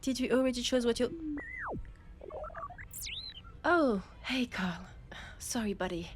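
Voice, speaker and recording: A young woman with a French accent playing a laid-off space miner in an audio roleplay, chatting hesitantly with her best friend and trailing off into pauses. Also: on the high side, noisy background, lightly acted. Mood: apologetic.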